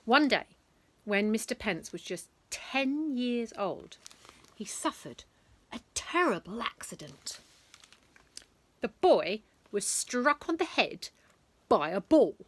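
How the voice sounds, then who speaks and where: A woman reading a bedtime story aloud to children, with lively, dramatic emphasis and chatty asides. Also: a little high, slow, long pauses.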